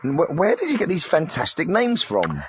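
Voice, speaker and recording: An actor playing an earnest, slightly clueless radio host, chatty and questioning, on a spoof phone-in. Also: male voice, on the high side, wildly swooping pitch, fairly quick, a little hiss or hum. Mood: curious.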